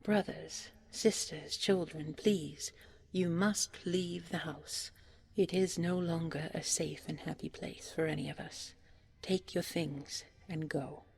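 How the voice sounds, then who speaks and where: A woman voicing a humble, devoted young fairy-tale hero in a soft, earnest, measured way. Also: sits low, fairly even intonation, gravelly, husky, heavily acted. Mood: pleading, firm.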